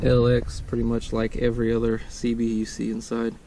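A man's voice: medium pitch, monotone, fairly quick. An American man in his thirties chatting casually and laid-back, rambling as he explains things like a vlogger. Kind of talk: casual chat, explaining a DIY install. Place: in and beside a parked pickup truck. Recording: clear vehicle and outdoor ambient noise.

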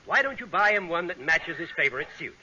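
A man's voice: fairly high pitch, big pitch swings, quick pace, crowd noise. An actor playing a friendly, curious male salesman in a 1940s radio comedy, on a muffled old recording with heavy hiss.

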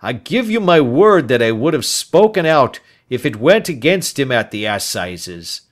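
A man voicing a dying, remorseful older man in an audiobook, speaking earnestly with a slow, heavy delivery. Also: medium pitch, big pitch swings, voice pushed up. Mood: pleading. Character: aging male rancher, dying and remorseful. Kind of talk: audiobook character voice.

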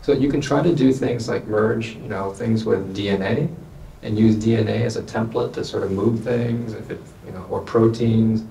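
A man with a gravelly, mellow voice explains his research to an audience, leaning on everyday comparisons. He is heard from a distance in an echoey lecture room with faint room noise. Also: fairly low pitch, fairly even intonation, normal speed. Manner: explanatory, uses analogies. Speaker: panelist scientist. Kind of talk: answering audience questions.

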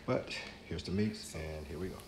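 Very deep-voiced man in his fifties. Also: normal speed. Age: 50s. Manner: relaxed, conversational, talks straight to the camera. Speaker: vlogger. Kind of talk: casual how-to talk. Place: home kitchen, indoors. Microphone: camera mic from a few feet away. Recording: echoey kitchen with background noise.

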